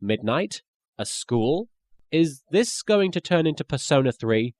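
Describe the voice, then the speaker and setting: A man narrates a scripted comedy review with lively, swooping intonation. His voice sits a little high. He is sarcastic and slips into mock-dramatic asides. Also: unhurried.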